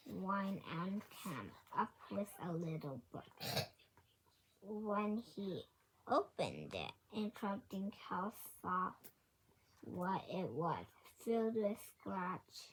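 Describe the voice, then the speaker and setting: A woman reads a children's storybook at an extremely slow pace, in a medium-pitched voice. She goes word by word, stumbling and correcting herself as she reads. Faint noise and clicks sit behind her. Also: rough recording. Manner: halting, word-by-word reading with stumbles and self-corrections. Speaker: storybook reader. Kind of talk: children's picture book read aloud.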